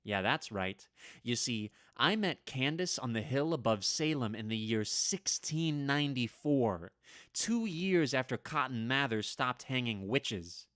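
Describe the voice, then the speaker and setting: A man's voice: medium pitch, lively intonation, measured pace, fairly bright tone, dry recording. A man playing a wry, easily flustered storyteller who has lived for centuries, in a dramatic vintage-style radio play. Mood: wry, reflective.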